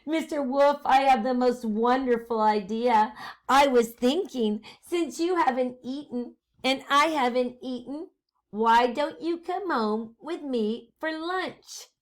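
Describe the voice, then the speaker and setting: A woman's voice: high voice, slow pace. A woman voicing a cranky, hungry, scheming storybook witch with a screechy, demanding manner. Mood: sly, inviting.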